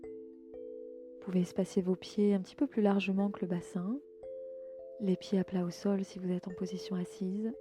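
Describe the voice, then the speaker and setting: Female relaxation guide, medium pitch, gentle inviting delivery with soft endings, music clearly underneath. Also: level tone, normal speed, pauses often. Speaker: host and yoga nidra guide. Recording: music bed behind the voice.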